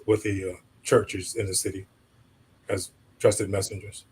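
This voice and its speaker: Male moderator, lowish husky voice, easygoing, hands off to the panel. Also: level tone, unhurried, a little mellow. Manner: conversational, directs questions to panelists. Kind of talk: panel discussion.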